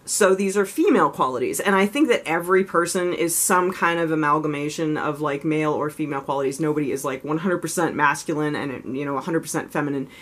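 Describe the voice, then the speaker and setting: A woman talking candidly straight to her vlog audience, her voice sitting notably low for a woman. She rambles and circles back, filling her sentences with "like" and "you know". She speaks openly and thinks aloud as she goes. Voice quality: low voice she raises slightly higher than natural. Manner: frank, self-reflective. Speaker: vlogger. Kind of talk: casual confessional vlog.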